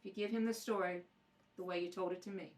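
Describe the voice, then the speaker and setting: A woman playing a calm, businesslike policewoman with an understated, clipped delivery in a radio crime drama, recorded on a distant home microphone. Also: fairly low pitch, fast talker, pitched below the performer's own voice, close to an everyday voice.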